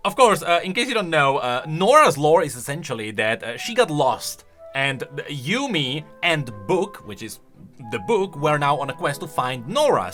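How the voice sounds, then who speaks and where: A man's voice: medium pitch, a bit bright. A man hosting casual video game commentary, emphatic and thinking aloud as he goes. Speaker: video creator and host.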